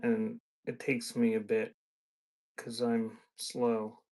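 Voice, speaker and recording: Gravelly-voiced man chatting casually on a livestream. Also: medium pitch, completely flat delivery, slow, warm tone. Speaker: live auction seller, host. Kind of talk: casual off-the-cuff livestream chat.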